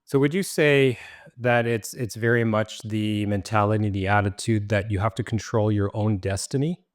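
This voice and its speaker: A man's voice: lowish voice, steady tone, normal speed. A man hosting a podcast, asking probing questions in a casual way. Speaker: podcast host. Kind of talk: podcast interview.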